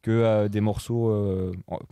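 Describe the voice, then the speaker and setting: A young man from Morocco chats as a guest on a talk show, pausing often with fillers and restarting his sentences. His voice sits a bit low and mellow, and the dry recording keeps every word close. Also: little pitch movement, smooth, dry recording. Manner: hesitant, many fillers and restarts. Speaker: guest DJ and producer. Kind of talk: casual chat.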